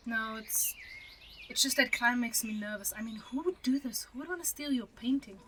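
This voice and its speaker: A nervous, reluctant young thief who works as a cleaner, played by a woman in an amateur radio play. The voice is fairly high and uneasy, with clear noise in the recording. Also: moderate pace, bright, forceful tone, recorded from a distance. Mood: nervous, evasive. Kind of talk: amateur radio drama acting. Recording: noisy, with clear background noise.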